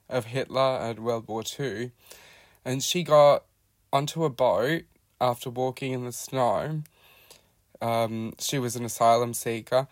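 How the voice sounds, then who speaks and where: An Australian man telling his own life story in a reflective, halting way, often pausing to search for words. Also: medium pitch, no room sound. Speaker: podcast guest sharing his life story. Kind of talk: personal storytelling monologue.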